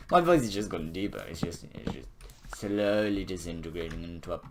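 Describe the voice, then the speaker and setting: A young man with a hoarse, fading voice talks casually through a building session in a game. His pitch swings widely, and he keeps mocking himself with dry chuckles. Faint keyboard clicking runs behind him. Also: expressive, moderate pace, noisy background. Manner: self-mocking, chuckling, rambling. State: hoarse, voice nearly gone. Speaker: gaming video creator.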